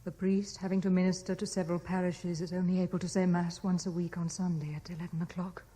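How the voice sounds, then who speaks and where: A woman's voice: lowish voice, flat delivery, fast, warm tone, breathy edge. Troubled, wistful British young woman. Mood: brooding. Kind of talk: 1970s British radio drama acting.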